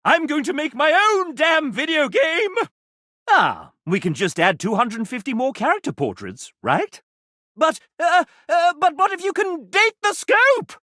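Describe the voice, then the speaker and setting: A man's voice: very high-pitched, very animated, measured pace, sharp tone, no echo, clean studio sound, exaggerated voice. A man voicing a sassy, randy, flamboyant video game mascot with a theatrical, cheeky, flirtatious delivery. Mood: giddy, excited. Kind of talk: video game character voice acting.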